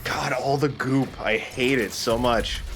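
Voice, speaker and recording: A man's voice: medium pitch, unhurried. A man giving casual, sweary and jokey commentary as he plays a video game. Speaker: let's play host, player. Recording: game music behind the voice. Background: video game music.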